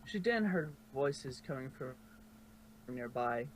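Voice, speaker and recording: Halting male story narrator, noisy recording. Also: medium pitch, lively intonation. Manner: halting, stumbles and corrects himself. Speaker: narrator of a fan fiction reading. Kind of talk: amateur story reading. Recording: noisy amateur home recording.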